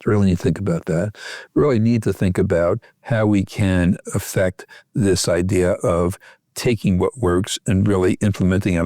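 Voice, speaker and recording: A man's voice: low voice, dark tone, no echo, clean studio sound. A man speaking as an expert guest in a podcast interview, explaining earnestly and repeating key phrases. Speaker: expert guest, physician researcher.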